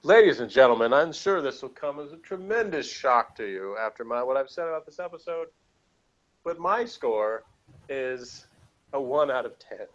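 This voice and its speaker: A man at an ordinary pitch hosts a casual review, dry and sarcastic, and he chuckles between the notes he reads. The audio is a little dull and lo-fi. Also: expressive, moderate pace, fairly bright tone. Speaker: podcast host reviewing an episode.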